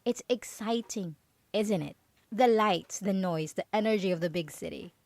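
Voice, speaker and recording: A woman's voice: medium pitch, moderate pace, gravelly, a little mellow, dry recording, lightly acted. A woman playing a possessive, overprotective roommate in an ASMR roleplay, stammering nervously as she pleads and coaxes. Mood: protective, insistent. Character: possessive, overprotective yandere roommate, clingy and manipulative.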